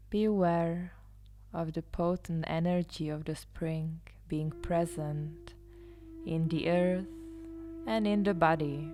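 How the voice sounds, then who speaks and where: A woman leading a guided meditation as a yoga nidra guide, soothing and hushed, often trailing into a near-whisper. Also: fairly low pitch. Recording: live instrumental music behind the voice. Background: soft live instrumental music.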